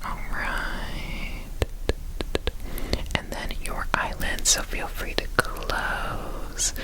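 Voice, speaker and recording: A soft, breathy whisper delivers an ASMR roleplay very slowly, right up at the microphone. The speaker plays a gentle examiner talking straight to the listener, often repeating single words. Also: poor audio. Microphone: close microphone.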